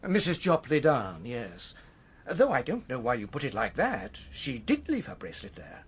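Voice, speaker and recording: An actor playing a prim, defensive hotel manager in a radio drama, with a precise, fussy manner. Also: male voice, medium pitch, huge pitch swings, moderate pace, husky, full character voice.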